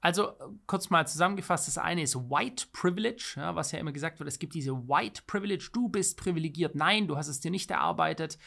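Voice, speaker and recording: A man's voice: on the high side, brisk, creaky, few pauses, fairly bright tone. A man in his thirties co-hosting a podcast, giving opinions in an emphatic, rhetorical way. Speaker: podcast co-host. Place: small studio room, indoors. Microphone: studio microphone on boom arm.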